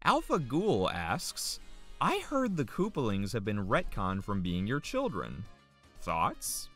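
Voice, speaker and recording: A man hosting a comedic web show, reading viewer questions in an excitable, sarcastic, nerdy way. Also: on the low side, slow pace, soft background music.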